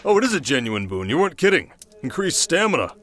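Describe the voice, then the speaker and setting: A man playing a courteous, protective young king and tender husband in an ASMR fantasy roleplay, polite and measured. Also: a little high, big pitch swings, a bit bright. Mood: polite, curious.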